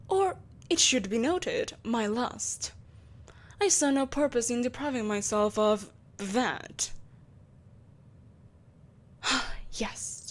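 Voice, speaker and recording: A woman voices an all-powerful evil empress in an audio drama monologue. Her voice sits fairly high, and her delivery is haughty, theatrical and formal, that of a possessive, seductive tyrant who has ruled for centuries. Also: full character voice. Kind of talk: scripted audio roleplay monologue.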